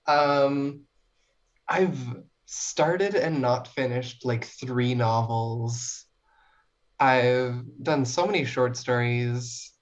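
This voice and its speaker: A young Canadian woman from small-town New Brunswick chatting casually as a podcast guest, playful and self-deprecating. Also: a bass voice. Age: young adult. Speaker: student writer, podcast guest. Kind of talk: casual interview chat. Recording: dull lo-fi podcast audio.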